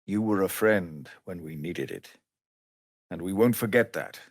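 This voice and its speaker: An actor playing a weary, dutiful leader of refugee outcasts in a video game, speaking formally and earnestly. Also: male voice, low-pitched, a little slow, no echo, clean studio sound. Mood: grave, weary. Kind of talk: dramatic video game voice acting.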